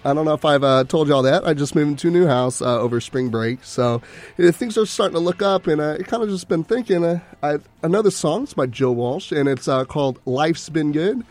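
A man's voice: a little high, sing-song, fairly quick, darkish tone. Stuffy-nosed young man DJ. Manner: rambling, reflective, many fillers. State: stuffy from a cold. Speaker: radio DJ and podcast co-host. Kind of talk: casual chat.

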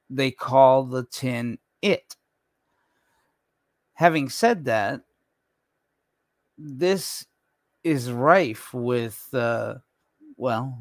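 Slow, halting man hosting, dry sound. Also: mid-range voice, no room sound. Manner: halting, trailing off. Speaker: radio show host. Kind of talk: casual, unscripted show commentary.

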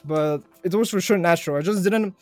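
A man's voice: high-pitched, fairly quick, a little mellow, dry recording. Young man. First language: Bulgarian. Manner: chatty, laughs easily, repeats phrases. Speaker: guest. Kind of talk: casual podcast interview.

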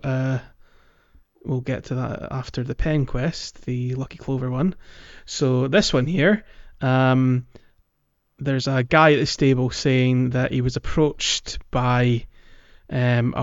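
A man hosting a podcast, casually recapping a video game in a hesitant, halting way. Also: medium pitch, lo-fi sound. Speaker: podcast host.

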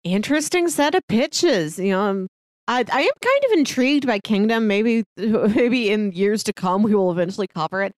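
A woman co-hosts a podcast in casual conversation. Her voice sits at a medium pitch, recorded dry with very little room sound. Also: lively intonation, moderate pace, no room sound. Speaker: podcast co-host.

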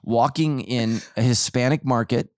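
A man's voice: medium pitch, measured pace, a little mellow, no echo, clean studio sound. An American man talking casually as a podcast host, telling things in a conversational, self-interrupting way. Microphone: close podcast microphone.

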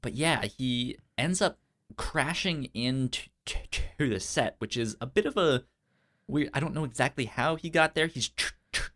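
A man with an average-pitched voice talks casually about a film as a podcast co-host. His delivery is halting, with stuttered and repeated syllables breaking up his sentences. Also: fairly bright tone.

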